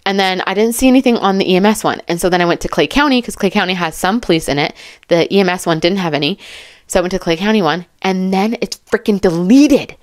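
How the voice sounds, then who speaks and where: Animated woman in casual, dry close commentary. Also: mid-range voice, fast talker, dry recording. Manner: animated, emphatic, informal, rambling. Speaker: true crime commentary YouTuber. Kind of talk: casual, chatty commentary to camera.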